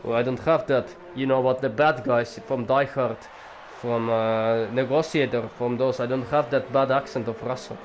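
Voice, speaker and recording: A man's voice: medium pitch, a little hiss or hum. A young man giving live sim-racing commentary as the league's owner, rambling and chatty with jokey asides to viewers.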